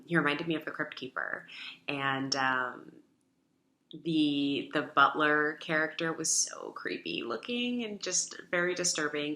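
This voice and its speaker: A woman's voice: deep voice, bright, forceful tone. A woman giving casual spoken feedback on a film as an audience member. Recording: echoey room.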